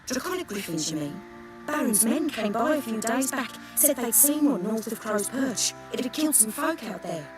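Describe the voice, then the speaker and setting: A woman's voice: a little high, big pitch swings, moderate pace. An actress playing a proud, resentful craftswoman in a fantasy game, speaking bluntly and assertively. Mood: determined. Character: proud, blunt, resentful woman armourer, determined to prove herself. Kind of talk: dramatic game dialogue. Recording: game dialogue with music behind.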